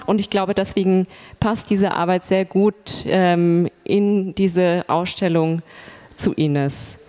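A woman in her forties, an artist and publisher speaking in a measured, articulate way about her work into a handheld mic in a gallery. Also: lowish voice, fairly even intonation, warm tone. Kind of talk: talking about her artwork. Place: gallery room.

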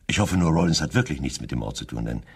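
A man's voice: very deep voice, lively intonation, fast talker, gravelly, breathy edge, exaggerated voice. An actor playing a probing, insistent male chief police inspector in a German radio crime drama. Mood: pensive.

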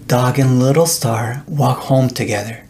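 A middle-aged man reads a children's bedtime story at a very slow pace. His voice has some creak in it and sits at an average pitch. He tells it deliberately and expressively, as an author sharing his own book. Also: darkish tone.

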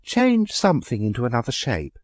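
A man reading a story aloud, voicing an excitable society aunt who pleads and then screams shrilly. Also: medium pitch, brisk, no echo, studio quality, pitched above the performer's own voice. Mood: pleading.

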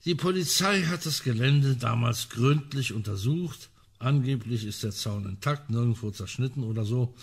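A man's voice: mid-range voice, moderate pace, husky. An actor playing a well-informed male amateur sleuth in a radio crime drama, talking in a dry, precise, ironic way. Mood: matter-of-fact.

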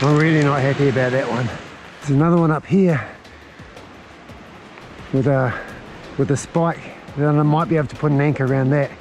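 A man, filming himself as he scrambles along sea cliffs and talks through each move, a little short of breath. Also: medium pitch. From New Zealand. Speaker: outdoor adventure vlogger. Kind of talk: self-filmed commentary while climbing. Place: outdoors on a windy coastal cliff. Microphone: head-mounted action camera. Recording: wind noise on an action camera.

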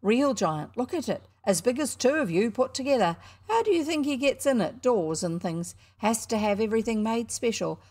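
A woman voicing a cocky young schoolboy showman, loud and indignant as he hawks his show. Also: a little high, big pitch swings, moderate pace, hardly any pauses, voice pushed up. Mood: boastful.

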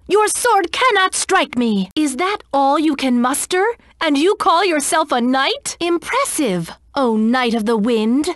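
Game role: proud young female cat knight, high voice, formal and stern, widely swinging intonation, very dry sound. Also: no echo. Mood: fierce, battle-ready, then proud, challenging. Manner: formal, archaic, haughty diction. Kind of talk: video game character voice clips.

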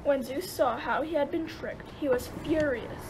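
A woman's voice: sits high, moderate pace, background noise. A woman narrating a myth as scripted storytelling for an amateur radio play.

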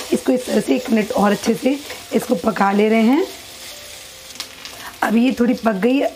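A woman talking through a recipe step by step in her home kitchen, in an unhurried, repetitive instructional way. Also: fairly high pitch, darkish tone, slight background noise, low-quality recording. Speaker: cooking channel host. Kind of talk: step-by-step cooking demonstration. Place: home kitchen at a gas stove.

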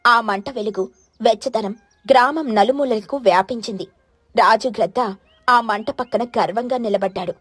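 A woman's voice: a little high, normal speed, husky, no echo. A woman narrator reading a scripted children's story.

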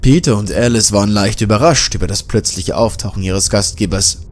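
A man reading a self-written horror story as an audiobook narrator, in an even storytelling manner. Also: on the low side, brisk.

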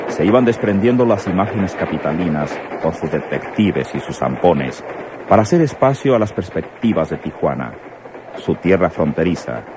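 A man with a Mexican accent narrating a radio soap opera in a dramatic scripted style. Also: low-pitched. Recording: very noisy old radio recording.

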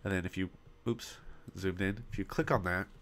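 A man's voice: low voice, fairly even intonation, a bit bright. A man with a voice he is losing walks through building a website step by step, in a casual screen-recording tutorial, coughing now and then into a popping desktop mic. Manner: casual step-by-step narration with frequent pauses. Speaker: web design tutorial host. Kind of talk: screen-recorded software walkthrough.

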